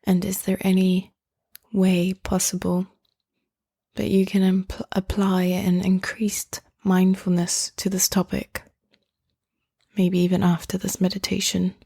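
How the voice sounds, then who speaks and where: A woman hosting a guided meditation in a soothing, gentle, unhurried manner, leaving long pauses between phrases. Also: fairly low pitch, monotone, creaky, slightly rough, no room sound, clean studio sound.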